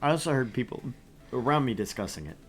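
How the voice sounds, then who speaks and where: A man reads a visual novel aloud for his let's play, his voice swinging widely and animatedly through each line. He drops in joking asides as he goes, at an everyday middle pitch. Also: fast talker, a little hiss or hum. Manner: lively, animated reading with asides.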